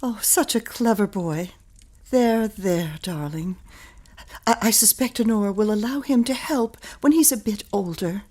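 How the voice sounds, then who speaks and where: An actress playing a flustered, fragile widowed mother in a period audio drama, halting and repeating her words in a genteel, anxious way. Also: mid-range voice, warm tone, natural voice. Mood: fussing, distracted.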